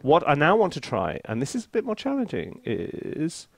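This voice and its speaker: A man's voice: a little high, huge pitch swings, normal speed, vocal fry, dry recording. A man giving a casual tutorial commentary as a composer at work, thinking aloud in a playful, self-deprecating way. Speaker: composer reviewing a sample library.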